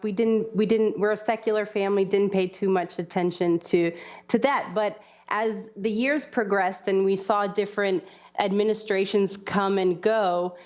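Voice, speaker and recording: A woman's voice: medium pitch, darkish tone, lo-fi sound. A young woman, a film director, answering questions as a guest in an interview.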